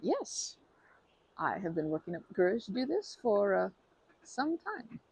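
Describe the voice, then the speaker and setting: Woman rambling shyly in an asmr roleplay, mellow voice, swoops up and down a lot. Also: mid-range voice, big pitch swings, a little slow, vocal fry, slightly rough, no echo. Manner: rambling and bashful, with many fillers and restarts; playful and earnest, laughing softly. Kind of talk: ASMR roleplay, talking to the listener.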